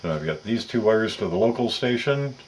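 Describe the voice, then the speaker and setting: A man with a deep, low voice walks through a practical task one step at a time. He explains methodically and in detail as he works, like a patient how-to instructor. There is faint noise behind his voice. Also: animated, a little fast. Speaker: electronics tutorial presenter. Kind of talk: step-by-step hands-on instruction, talking while working.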